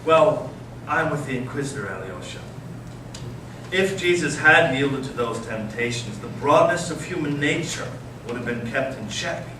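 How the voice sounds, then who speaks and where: A man playing a brooding, cynical intellectual in readers theater, speaking with measured, ironic intensity. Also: medium pitch, expressive, close to an everyday voice. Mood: bitter irony. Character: brooding, cynical intellectual brother, a provocative storyteller. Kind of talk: staged readers theater dialogue. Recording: echoey noisy room, distant microphone.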